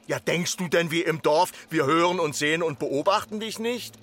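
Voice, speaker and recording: A bright-voiced, reproachful village mayor. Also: male voice, on the high side, normal speed. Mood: stern admonishing. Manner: admonishing, formal, repetitive. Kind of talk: literary radio drama acting.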